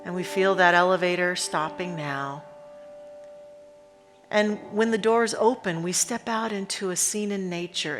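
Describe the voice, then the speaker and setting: A woman leading a guided meditation in a reverent, unhurried manner, pausing deliberately between short phrases. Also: fairly low pitch, a bit bright. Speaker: spiritual leader guiding a meditation. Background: instrumental music.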